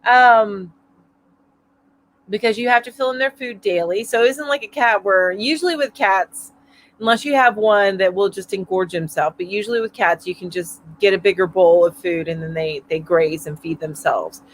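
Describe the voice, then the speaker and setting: A woman's voice: medium pitch, normal speed, a little hiss or hum. A middle-aged woman hosting a casual live-stream chat from home, reading out viewer comments in a chatty, rambling way, a little stuffy from allergies. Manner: chatty and rambling, with frequent fillers and laughs. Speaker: host of a weekly live chat. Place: home, mountain area.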